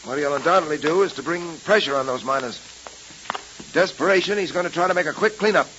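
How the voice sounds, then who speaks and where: A man's voice: a little high, quick pace, pushed and bright. An American man playing a resolute, principled police detective in a 1930s radio serial, with earnest, formal phrasing. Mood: determined. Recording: muffled, hissing old radio recording.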